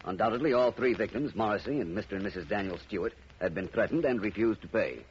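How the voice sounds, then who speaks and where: An actor in a 1940s radio drama playing a gentleman detective who reasons through a case. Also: fairly low pitch, fast, close to an everyday voice. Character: calm, thoughtful gentleman detective. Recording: muffled old radio recording with faint hiss.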